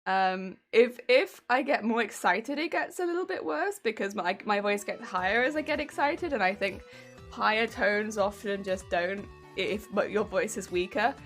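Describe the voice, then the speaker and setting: A woman's voice: medium pitch, lively intonation, moderate pace, fairly bright tone, soft background music. A woman streamer chatting casually while she crafts, with a weak, warbly voice that is a little congested from hay fever, chatty and giggly as she greets her viewers. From United Kingdom. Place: home craft room.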